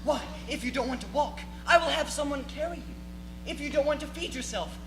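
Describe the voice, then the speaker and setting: A woman's voice: high voice, brisk. A woman playing a pompous, vain young male prince with grandiose, declamatory delivery in a comic stage reading. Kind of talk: live readers' theatre comedy. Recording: echoey hall with music behind.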